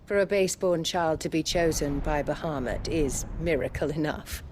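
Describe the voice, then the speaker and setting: An actress playing a cold, scheming imperial noblewoman in a video game drama, condescending and measured. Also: deep voice, darkish tone, a little hiss or hum, lightly acted. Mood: cold scorn.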